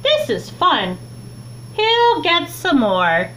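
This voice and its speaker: A young adult woman reads a children's book aloud with expressive, deliberate phrasing, recorded in a small room at home on a webcam mic. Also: very high voice. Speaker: teacher reading a children's book. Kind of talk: read-aloud storytime narration. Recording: clearly noisy, humming home recording.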